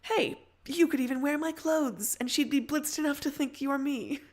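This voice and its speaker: A sardonic young teenage girl, acted out by a woman in an audio fan fiction, with a higher-pitched voice. She is insistent and teasing, with concern under the dry wit. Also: moderate pace, lightly acted.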